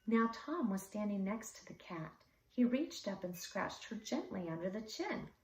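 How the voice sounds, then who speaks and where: A woman reading a children's story aloud expressively, with deliberate, dramatic emphasis for young listeners. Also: mid-range voice, big pitch swings. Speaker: read-aloud storyteller for schoolchildren. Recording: echoey room, slightly distant mic.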